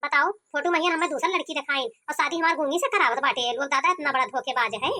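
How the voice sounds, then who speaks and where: A woman's voice: sits high, fast talker, noisy background, natural voice. A woman voicing a complaining, stubborn young village groom in an Awadhi-accented cartoon comedy. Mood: indignant. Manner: complaining, argumentative.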